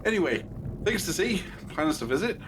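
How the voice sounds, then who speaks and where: A man's voice: high-pitched, very animated, brisk. A man playing an eccentric, whimsical time-travelling adventurer in an audio drama, musing and a little self-satisfied, over noise. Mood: breezy, whimsical. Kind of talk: scripted audio drama acting.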